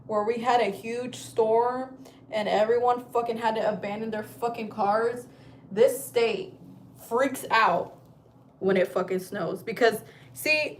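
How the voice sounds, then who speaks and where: A woman's voice: a little high, normal speed, darkish tone, a little hiss or hum. A woman in her thirties, from Chile, chatting casually on a livestream from home, rambling and swearing freely. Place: at home, indoors.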